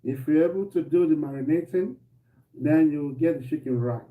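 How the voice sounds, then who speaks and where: Casual male cooking host, slightly hoarse, somewhat high voice, echoey kitchen stream. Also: moderate pace, recorded from a distance, phone-quality sound. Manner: relaxed, repeats himself, greets viewers by name. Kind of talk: live-stream cooking demo, chatting to viewers. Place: home kitchen. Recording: echoey room, voice off-mic, faint noise.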